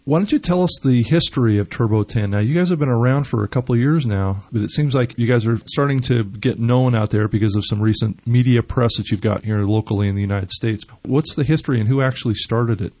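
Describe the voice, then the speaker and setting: A man's voice: medium pitch, fast, hardly any pauses, a little mellow. A male radio talk show host interviewing a guest, asking long, rambling questions.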